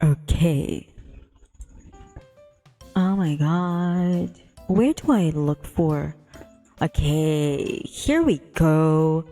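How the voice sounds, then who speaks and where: A woman hosts a casual game stream with music playing behind her. Her voice sits a little low and moves at a very slow pace. She is giggly and quick to exclaim. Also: mellow. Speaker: livestreamer host. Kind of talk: casual livestream chat while setting up and playing a visual novel. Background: background music.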